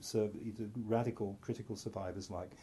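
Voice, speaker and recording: A middle-aged psychiatrist from North Wales giving a candid, self-deprecating talk to an audience, full of restarts and asides. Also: male voice, on the low side, fairly even intonation, a little fast, warm tone, a little hiss or hum.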